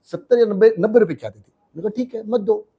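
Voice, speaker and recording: A man, a business coach telling his life story in an interview, switching between Hindi and English with emphatic, animated delivery. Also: high-pitched, quick pace, breathy edge, narrow, tinny audio. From India. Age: 60s. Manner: animated, emphatic, mixes languages.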